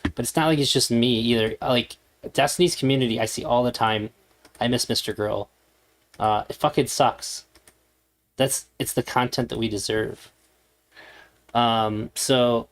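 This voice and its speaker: Halting male streamer, casual commentary. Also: medium pitch. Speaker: streamer host.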